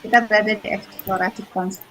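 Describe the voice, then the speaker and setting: A woman's voice: mid-range voice, flat delivery, quick pace, a bit bright, a little hiss or hum, rough recording. A woman facilitating an online class in a casual, jokey, giggly and self-deprecating way. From Indonesia. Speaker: facilitator of an online teacher training forum. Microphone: video call.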